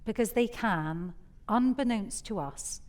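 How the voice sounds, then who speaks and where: A woman's voice: fairly high pitch, lively intonation, slow, a little mellow. A woman host giving articulate, explanatory scripted introductions to poems on a theatre stage.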